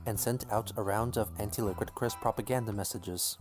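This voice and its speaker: Low-voiced male narrator over music. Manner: even, measured storytelling. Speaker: documentary narrator. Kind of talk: scripted narration.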